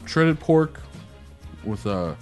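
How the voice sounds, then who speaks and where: A man's voice: medium pitch, very animated, extremely slow, soft background music. A man hosting a podcast, chatting casually in a laid-back, rambling way and swearing now and then. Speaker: podcast host. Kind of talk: casual chat.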